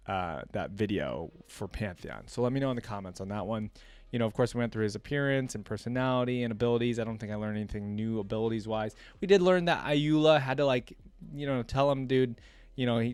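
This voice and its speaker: A man hosting a reaction video, reading aloud and adding informal asides as he thinks out loud. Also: mid-range voice, clean tone, no echo. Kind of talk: casual commentary, reading wiki text aloud with asides.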